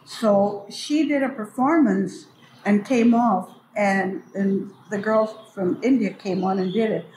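A woman's voice: medium pitch, slow pace, a little mellow. An older woman, a grandmother, casually reminisces in a rambling, anecdotal way, repeating phrases as she trails off. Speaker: storyteller guest, grandmother. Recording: echoey space with background noise.

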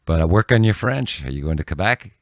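An older man with an extremely low, gravelly voice chats casually as a podcast guest. His delivery is animated and swings widely in pitch. He sits close to the mic, with a dry sound. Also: fast, dry recording. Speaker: podcast guest, TV news broadcaster. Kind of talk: casual chat, storytelling. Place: small indoor room with bookshelves. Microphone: close podcast microphone on arm.

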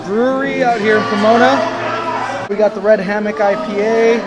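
A man in his thirties co-hosting a beer tasting vlog, talking in a casual, excitable, profane and joking way. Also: high-pitched, moderate pace. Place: busy brewery taproom. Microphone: split-screen phone cameras at arm's length. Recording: loud music and crowd behind.

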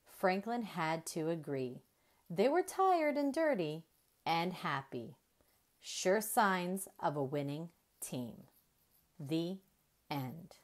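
A woman reading a children's story aloud in an expressive, deliberate storyteller's manner. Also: on the low side. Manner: deliberate, animated storytelling with emphasized dialogue.

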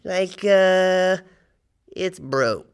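A man's voice: high voice, extremely slow, clean tone, exaggerated voice. A man acting in a comedic kids' skit, talking in a goofy, overeager, playful way. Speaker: skit actor and mock video host.

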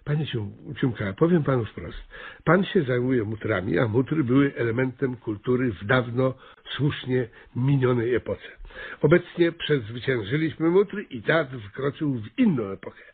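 Thin phone-like radio comedy, a pompous flustered town mayor, husky, officious. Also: male voice, medium pitch, animated, moderate pace. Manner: officious, hesitant, exclaiming. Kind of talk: comic radio play acting.

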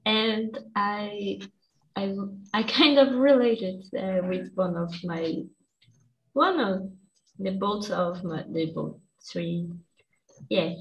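Young woman learner, slow and halting, dull lo-fi earphone mic. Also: mid-range voice. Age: young adult. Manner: halting, searches for words, laughs nervously. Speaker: student. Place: small room at home. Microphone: earphone headset on a video call. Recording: dull lo-fi call audio.

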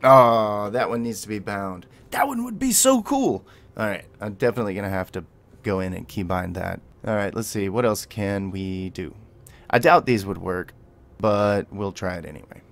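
A man's voice: on the low side, expressive, normal speed, a bit bright. A man giving a gameplay tutorial, mixing clipped spoken commands with casual explanation. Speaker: tutorial host.

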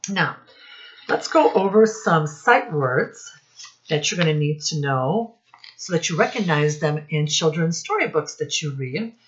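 A middle-aged woman giving a read-aloud lesson to young children, speaking deliberately and instructively as their teacher. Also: low-pitched, expressive, lo-fi sound. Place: small indoor room with posters.

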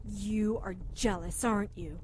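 An actress playing a sharp-tongued, assertive woman doctor who narrates a radio drama. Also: mid-range voice, a little slow, slight background noise, natural voice. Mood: challenging. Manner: challenging, assertive.

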